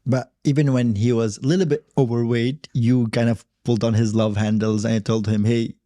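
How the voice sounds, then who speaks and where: A man's voice: medium pitch, level tone, moderate pace, dark tone, no room sound, clean studio sound. A man interviewing guests in a casual, conversational style. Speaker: podcast interviewer.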